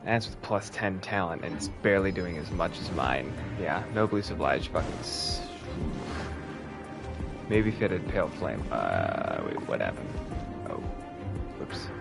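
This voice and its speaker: Deep-voiced man streaming a game. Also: very animated, a little slow, gravelly. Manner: casual, blunt, swearing. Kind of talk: gameplay commentary. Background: game music.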